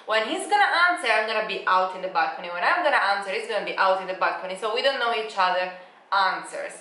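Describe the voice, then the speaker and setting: A woman's voice: mid-range voice, expressive, quick pace, pushed and bright, reverberant. A woman in her twenties, a native Italian speaker, chatting casually on a travel vlog and laughing often. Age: 20s. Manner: bubbly, laughs often, non-native phrasing. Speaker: travel vlogger. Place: holiday apartment in Bali.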